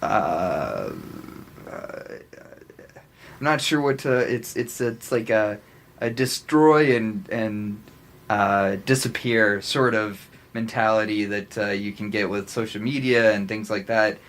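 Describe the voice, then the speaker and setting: An American man chatting casually as a podcast co-host, often halting as he searches for his words. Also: on the low side, fairly bright tone.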